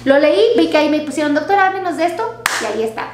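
A woman's voice: high voice, animated, racing. A woman doctor gives health advice in a chatty way, repeating herself and using diminutives, recorded on a headset mic in a small clinic room. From Mexico. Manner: warm, repetitive, uses diminutives. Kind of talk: casual health talk to viewers. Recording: echoey room with faint hum.

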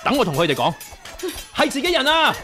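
An actor playing a courteous, earnest young leader of martial arts heroes in a radio drama, with a Hong Kong Cantonese accent. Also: male voice, very high-pitched, wildly swooping pitch, brisk, exaggerated voice. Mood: urgent. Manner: polite, earnest. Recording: radio drama with music behind.

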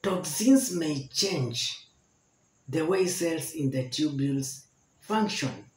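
A man in his forties teaching a health lesson to camera, slowly repeating each point to explain it. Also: on the high side, darkish tone. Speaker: medical educator. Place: indoor room with barred window. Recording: echoey room.

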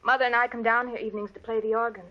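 A woman's voice: fairly high pitch, flat delivery, racing, lightly acted. A woman playing an earnest, resolute young daughter of a slain preacher, with an American accent, in an old radio western. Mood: polite, sad. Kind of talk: 1940s-50s radio western acting. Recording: dull vintage radio recording.

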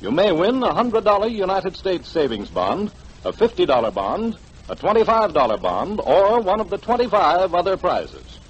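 A male announcer from 1940s radio delivers a promotion. His voice is high and swings widely in pitch. The old recording is muffled and dull. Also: wildly swooping pitch, moderate pace, breathy edge.

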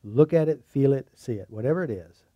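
A man's voice: medium pitch, lively intonation, a little fast, no echo, muffled recording. A middle-aged man leading a seminar, coaching a volunteer in front of a group. He jokes, repeats words and gives direct instructions. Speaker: seminar leader. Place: room at a live seminar.

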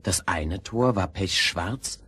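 A male storyteller narrates a fairy tale in a lowish, husky voice. He takes his time, measured yet expressive in every phrase.

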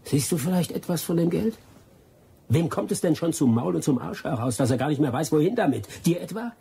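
An actor playing a wily, vengeful expatriate adventurer in a German radio drama, with a sardonic, persuasive way of talking. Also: male voice, a little high, creaky, warm tone, husky, full character voice. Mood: scornful, goading.

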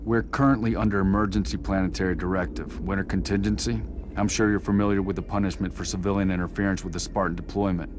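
A man playing a stern, controlled military commander in a video game, measured and formal. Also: low voice, fairly even intonation, background noise, lightly acted. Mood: firm, defiant. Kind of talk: video game cutscene acting.

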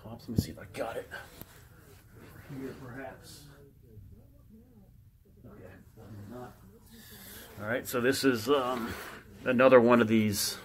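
A man giving casual, unscripted show-and-tell commentary as a collector, halting and muttering to himself as he reads aloud. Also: medium pitch, fairly even intonation, background noise. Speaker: record collector showing his records.

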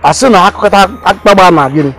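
A man's voice: sits high, fast, a bit bright, soft background music. A man with a Nigerian Igbo accent playing an exasperated, stubborn middle-aged village father in a film drama, emphatic and given to repeating himself. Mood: weary, exasperated. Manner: emphatic, repeats phrases, mixes Igbo and English. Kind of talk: Nollywood film drama acting.

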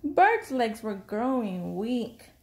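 A woman reading a children's picture book aloud in an expressive, deliberate storytelling style. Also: on the high side.

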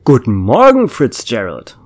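A man's voice: medium pitch, wildly swooping pitch, unhurried. A man playing a weary, sardonic small-town lawman with a dry, sarcastic manner in an audio drama. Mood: patient, firm.

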